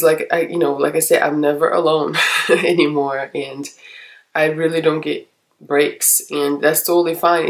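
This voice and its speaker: A young woman with a stuffy, nasal voice from a cold, chatting casually to camera as a vlogger, rambling with many ums and soft laughs. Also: deep voice. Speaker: makeup vlogger.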